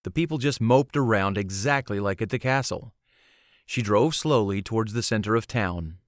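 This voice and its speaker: A man's voice: lowish voice, moderate pace, no room sound, studio quality. A man reading scripted fantasy storybook narration as an audiobook narrator.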